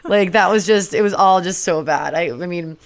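Young woman co-host, deep for her, rambling and self-deprecating. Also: moderate pace. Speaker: podcast co-host. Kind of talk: casual podcast chat.

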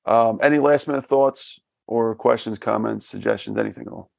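Podcast host, male, Philadelphia accent, gravelly low voice, halting repeats, dry sound. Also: a little mellow, dry recording. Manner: halting, repeats words, laughs often. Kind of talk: casual interview.

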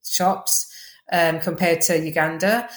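A woman with a Welsh accent, chatting quickly as a podcast guest, full of fillers and restarts. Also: fairly low pitch, steady tone, reverberant, poor audio. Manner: fast-talking, chatty, lots of fillers. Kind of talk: casual interview chat.

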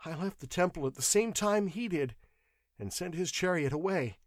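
A man voicing a cowardly, frightened clerk in an audiobook, shrill, pleading and stammering with nerves. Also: big pitch swings, moderate pace, dry recording, studio quality. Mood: cowed, whimpering. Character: whimpering terrified clerk, a weak cowardly man.

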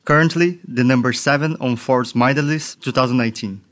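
Mid-pitched man presenting a podcast. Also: a little fast, fairly bright tone. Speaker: podcast host, venture capital partner. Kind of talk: scripted podcast introduction.

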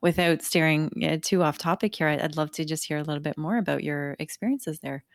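Low-voiced woman podcast host, dry sound. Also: a little fast, dry recording. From South Africa. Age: 30s. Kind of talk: scripted introduction then casual interview.

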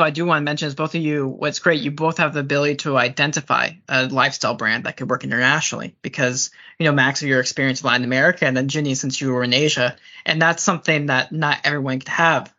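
A man hosts a conversational interview in a medium-pitched voice. His tone is bright and forceful, and he is affirming toward his guests. The recording sounds a little dull and lo-fi. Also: steady tone, fast talker, hardly any pauses. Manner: affirming, interjects short reactions. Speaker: podcast host, interviewer. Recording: dull, muffled lo-fi audio.

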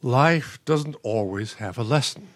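A man's voice: mid-range voice, expressive, unhurried. A man playing a patient, resigned retired professor, an older husband in a radio play, speaking in a dry, understated way. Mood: wry.

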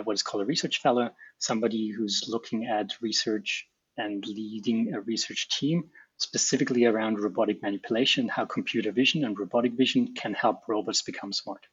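Husky middle-aged Austrian man, roomy sound. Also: fairly low pitch, highs cut off. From small Alpine town in Austria. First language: German. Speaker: guest, robotics researcher and company founder. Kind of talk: explanatory interview answers.

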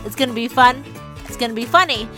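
A young Australian woman hosts a casual podcast. Her voice sits a little high. She talks in an informal, conversational way, as with a friend. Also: fast, pushed and bright, soft background music. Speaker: podcast host. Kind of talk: casual chat.

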